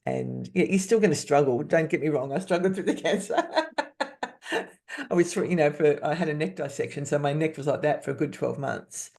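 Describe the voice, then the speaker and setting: A woman in her fifties, a podcast guest, speaking candidly and haltingly, restarting words and laughing often. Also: sits low, big pitch swings, creaky, darkish tone. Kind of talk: candid personal interview.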